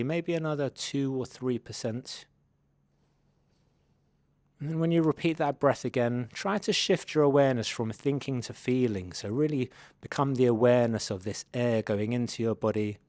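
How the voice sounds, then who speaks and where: A man leading a guided body scan meditation, giving gentle, unhurried invitations as a mindfulness instructor. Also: mid-range voice, dry recording.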